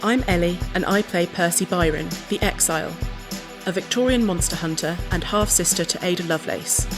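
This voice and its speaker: A woman reads her character introduction in a clear, measured way. Her voice is on the low side. Music plays clearly behind her. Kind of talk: scripted introduction.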